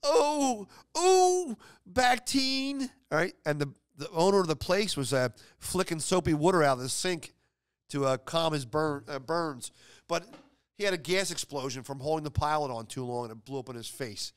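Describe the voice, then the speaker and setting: Clear-voiced, higher-pitched man telling rambling stories. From Philadelphia, United States. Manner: rambling, halting, repeats words for emphasis. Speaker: comedian and show host. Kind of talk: comedic anecdote storytelling, casual.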